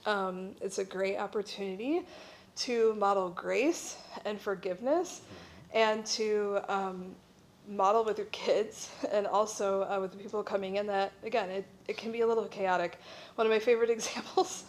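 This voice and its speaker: A middle-aged woman gives an informal talk as a missionary presenter. She explains things conversationally, in a mid-pitched voice. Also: fairly even intonation, moderate pace. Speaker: missionary speaker at a church breakout session.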